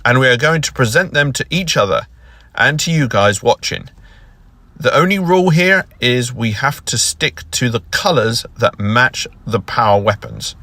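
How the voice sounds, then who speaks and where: A man presents as a video host with a prepared, introductory delivery. His voice is bright and sharp, at a middling pitch. The sound is dry, with very little room in it. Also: lively intonation, moderate pace, dry recording. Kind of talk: scripted video intro to camera.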